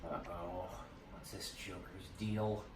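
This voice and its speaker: A woman's voice: extremely low pitch, steady tone, takes it slowly. A woman playing a cocky, wisecracking superhero at a table read of a play. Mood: uneasy aside. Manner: wisecracking, taunting. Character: cocky, quipping superhero Impulse, fighting robots. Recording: echoey room, distant mic, faint noise.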